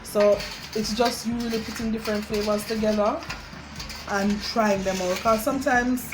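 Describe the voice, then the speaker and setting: A woman's voice: medium pitch, fairly even intonation, moderate pace, noisy background. A woman with a Jamaican accent chatting informally to viewers as a vlog host, slipping into Patois as she demonstrates homemade drinks. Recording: music over the talk. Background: music.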